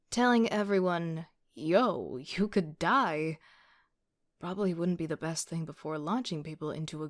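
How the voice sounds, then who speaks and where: A woman's voice: lowish voice, close to an everyday voice. A woman playing a wry, casual young student team leader who narrates an audio drama in the first person with sardonic asides. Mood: wry, observant. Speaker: voice actor.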